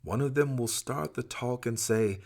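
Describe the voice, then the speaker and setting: A man's voice: mid-range voice, a little slow, a little mellow. A man reading scripture from a script in a solemn, emphatic, dramatic way. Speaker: scripture reader. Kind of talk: expressive scripted scripture recitation.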